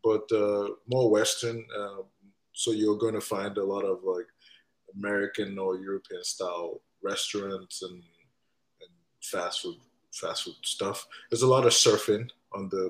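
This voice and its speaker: A man's voice: on the low side, little pitch movement, measured pace, poor audio. A man in his thirties from Nigeria, chatting as a podcast guest, laughing often and filling gaps with "uh". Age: 30s. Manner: laughs often, many fillers. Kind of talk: casual interview chat.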